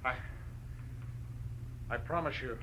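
An actor playing a frightened, scheming man who pleads and bargains haltingly, in 1940s radio melodrama style. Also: mid-range voice, lightly acted. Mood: pleading, bargaining. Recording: noisy old radio recording.

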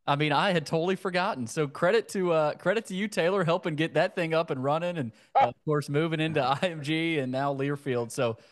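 A man's voice: on the high side, brisk, few pauses. A man hosting a sports podcast, talking informally and conversationally in a slightly rambling way. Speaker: podcast host.

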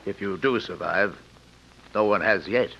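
An actor playing a sinister, courteous rich villain in old radio drama, speaking quietly and formally without hurry. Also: male voice, fairly low pitch, measured pace, vocal fry. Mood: gloating threat. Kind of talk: 1940s-50s radio drama acting. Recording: dull old radio recording.

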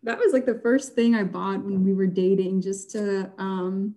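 Chatty young woman with a mellow voice. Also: medium pitch, fairly even intonation, normal speed. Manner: chatty, laughs often, many fillers. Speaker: guest. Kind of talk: casual interview chat.

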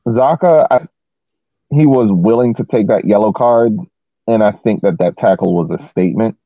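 Low-voiced man on a tinny phone-like line. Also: moderate pace, dry recording. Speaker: podcast co-host. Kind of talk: casual sports analysis.

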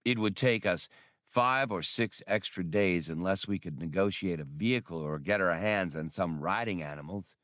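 A man's voice: sits low, moderate pace, a bit bright, dry recording, clean studio sound. A man voicing a brash, stubborn starship captain in an audiobook, with a blunt, argumentative manner. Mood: practical. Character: brash, stubborn male space pilot captain.

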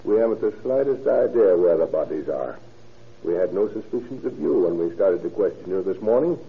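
An actor playing a stern, sardonic police detective in an old radio crime drama, with a clipped, authoritative delivery. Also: male voice, deep voice, lively intonation, fairly quick, vocal fry. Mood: firm. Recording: tinny vintage radio recording.

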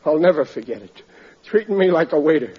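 Sulky blustering family man for vintage radio comedy, high voice that swings widely, muffled recording. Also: expressive, a little fast, slightly rough. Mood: sulky, bitter. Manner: blustering, colloquial, grumbling. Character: gullible working-class family man, sulky, blustering, self-pitying. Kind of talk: 1940s radio sitcom acting. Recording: muffled old radio recording, audience behind.

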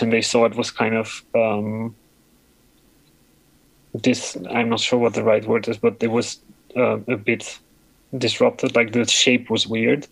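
A man with a deep voice giving a personal account in an interview, often pausing and searching for words. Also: no echo, rough recording. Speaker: interview guest.

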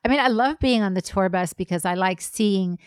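A chatty, upbeat woman co-hosting a podcast, easygoing and quick to laugh. Her voice sits at an ordinary middle pitch. The sound is dry and close, with no room echo. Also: clear, resonant tone. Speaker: podcast co-host. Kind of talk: casual chat.

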